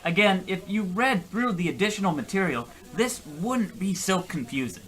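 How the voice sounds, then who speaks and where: A man's voice: high voice, animated, normal speed, a bit bright, poor audio. A man playing a gullible, defensive husband who rambles through his tall story with exasperation in an old-time radio comedy.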